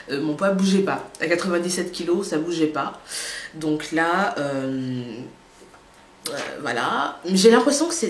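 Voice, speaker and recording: A woman with a slight cold gives a casual, chatty vlog update and talks straight to her viewers, with many hesitant pauses. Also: low-pitched, reverberant, a little hiss or hum. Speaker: vlogger.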